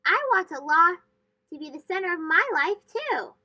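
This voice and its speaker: A woman voicing an excited, eager eight-year-old boy in a children's storybook read-aloud. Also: very high voice, normal speed, exaggerated voice. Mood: earnest. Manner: eager, exclamatory. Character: excited eight-year-old schoolboy who loves astronomy.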